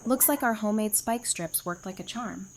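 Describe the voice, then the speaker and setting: An actress voicing a weary but steady young woman who talks quietly. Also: medium pitch, moderate pace, natural voice. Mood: subdued.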